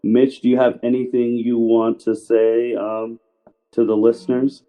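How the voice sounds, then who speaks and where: A man's voice: mid-range voice, flat delivery, measured pace, mellow. A man hosting a podcast in a casual, chatty style over a remote call. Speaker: podcast host. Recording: thin phone-like remote call audio.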